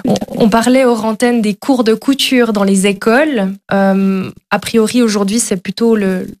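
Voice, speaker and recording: A woman's voice: mid-range voice, steady tone, normal speed, a little mellow. A woman co-hosting a morning radio show, asking questions in a friendly way with frequent hesitations. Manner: friendly, laughs easily, frequent fillers. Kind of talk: radio interview and chat.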